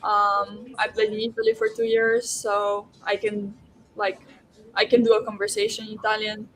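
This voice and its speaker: A woman's voice: mid-range voice, moderate pace, a bit bright, slight background noise, rough recording. Young Slovenian-accented woman, many "likes". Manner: lots of "like" and "um", thoughtful. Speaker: college basketball player, guest. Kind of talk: casual interview chat.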